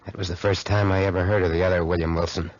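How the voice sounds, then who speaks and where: A man's voice: sits low, level tone, fast, a little hiss or hum, natural voice. An actor playing a tormented, arrogant man who broods melodramatically in a 1940s radio drama. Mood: brooding. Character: tormented, bitter, arrogant man confessing a haunted past. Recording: muffled 1940s radio recording.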